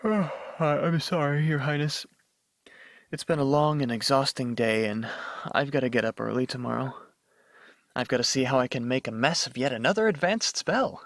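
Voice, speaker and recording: A man's voice: medium pitch, normal speed, fairly bright tone, no echo, studio quality, natural voice. A man voicing a kind, deferential young unicorn student in a radio drama, earnest and hesitant. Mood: apologetic, gentle. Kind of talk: fan-made radio drama acting.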